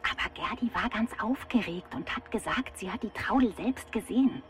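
A woman's voice: medium pitch, moderate pace, natural voice. Woman playing a medium-voiced, newsy friend. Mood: explaining. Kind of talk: children's radio play acting.

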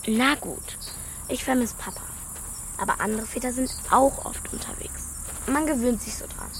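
A woman's voice: on the high side, moderate pace, a little hiss or hum, close to an everyday voice. A woman playing a frank, stubborn young schoolgirl in a naturalistic children's radio drama, speaking plainly.

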